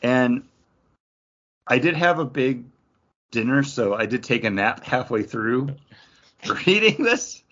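A man's voice: mid-range voice, lively intonation, slow, pauses often, fairly bright tone. A man co-hosting a podcast, talking casually about comic books. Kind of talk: casual comic book review chat. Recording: dull, lo-fi sound.

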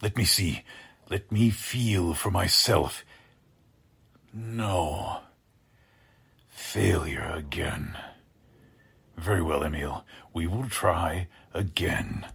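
A creepy mad scientist for a radio play, slow and gravelly, intense, bossing his helper around. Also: sits low, fairly bright tone, heavily acted. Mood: anxious, disappointed. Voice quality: husky, gravelly. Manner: slow, intense, breathy whispering and urgent commands. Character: deranged male scientist, obsessive, sinister, commanding his assistant. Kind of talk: radio drama acting.

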